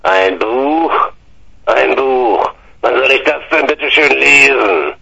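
A man's voice: mid-range voice, a little slow, pushed and bright, breathy edge, dull audio, full character voice. A man putting on a cranky old uncle, bellowing. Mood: indignant. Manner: scornful bellowing. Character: grumpy old pensioner uncle, belligerent, insulting.

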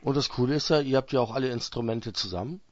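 A man's voice: medium pitch, quick pace, noisy background. A man hosting a casual radio phone interview in a chummy, slangy, colloquial way. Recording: dull lo-fi radio sound.

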